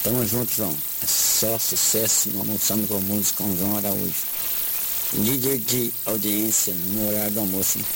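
A man's voice: fairly low pitch, steady tone, normal speed, vocal fry. A man sending a voice message to a radio show, giving the host a friendly greeting and praise. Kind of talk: casual on-air greeting. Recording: hissy voice message.

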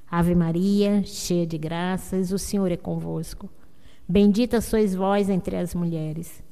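A woman's voice: lowish voice, mellow. Solemn woman leading radio prayers. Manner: slow, solemn, intoning. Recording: long reverb.

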